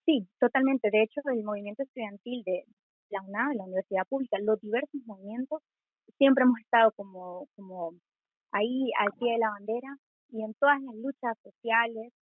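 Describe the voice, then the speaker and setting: A woman's voice: on the high side, fairly quick, dry recording. Young Honduran woman over a tinny line. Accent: Honduran Spanish. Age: 20s. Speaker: interview guest, student activist. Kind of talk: earnest interview answers. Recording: tinny phone-like call audio.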